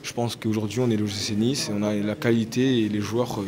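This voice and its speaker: A man's voice: fairly low pitch, level tone, brisk, very noisy. A man giving a frank post-match interview. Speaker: footballer.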